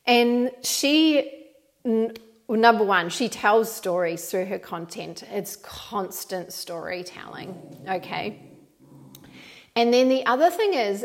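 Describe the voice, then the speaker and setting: A woman with a mixed New Zealand and Australian accent, hosting a solo podcast and talking in an animated, emphatic way. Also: medium pitch, vocal fry. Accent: New Zealand with some Australian. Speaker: podcast host.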